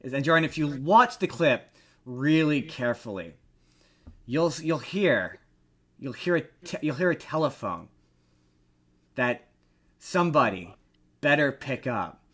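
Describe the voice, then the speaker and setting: A man's voice: mid-range voice, expressive, a little slow, pauses often, a bit bright. Casual man co-hosting a chatty podcast. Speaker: podcast co-host. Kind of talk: casual pop-culture podcast chat.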